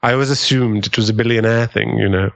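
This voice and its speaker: A man playing an earnest, kindly government agent in an audio drama, with a measured, avuncular way of talking. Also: lowish voice, fairly even intonation, vocal fry. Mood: gently teasing.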